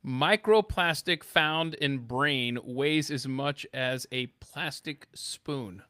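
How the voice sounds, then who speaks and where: A man's voice: medium pitch, takes it slowly, pushed and bright, dry recording, clean studio sound. A man hosting a casual talk show, chatting and reading news items in a blunt, exclamatory way.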